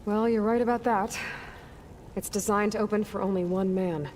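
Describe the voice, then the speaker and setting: A woman's voice: medium pitch, fairly even intonation, moderate pace, a little mellow, long reverb tail, a little hiss or hum, natural voice. A woman playing a stubborn young video game heroine, dry, plain-spoken and sardonic. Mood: dry, resolute. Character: blunt, skeptical young heroine, wry and stubborn. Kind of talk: video game cutscene acting.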